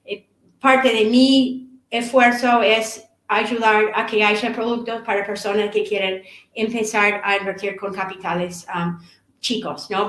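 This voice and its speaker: A middle-aged woman, an author and course instructor, giving a seminar talk in a halting way, often repeating words as she searches for them. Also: medium pitch, a bit bright. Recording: echoey room.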